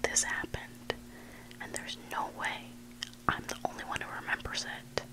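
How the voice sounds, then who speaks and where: Hushed whispering ASMR storyteller, sex indistinct. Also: poor audio. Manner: hushed, deliberate, pausing between short phrases. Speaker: ASMR host reading submitted online stories.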